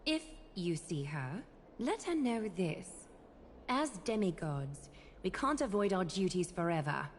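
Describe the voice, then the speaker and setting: A woman playing a stern, regal demigod lady in a game, speaking in a measured, imperious, mocking way. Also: lowish voice, sing-song, a little hiss or hum. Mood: cool suspicion. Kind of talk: scripted game voice acting. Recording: loud music behind.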